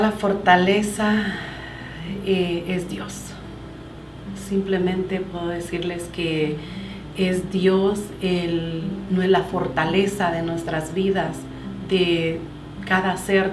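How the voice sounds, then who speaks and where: A woman's voice: fairly low pitch, far from the mic. A middle-aged woman from El Salvador with a Salvadoran accent, sharing her personal testimony as a guest and quoting scripture earnestly. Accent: Salvadoran Spanish. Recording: echoey room with background noise.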